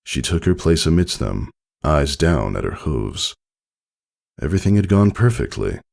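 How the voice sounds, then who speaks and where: A man narrates a story in an extremely deep voice with a creaky edge. His delivery is dramatic and deliberate, with expressive swings in pitch that carry the scene along. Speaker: narrator reading fan fiction.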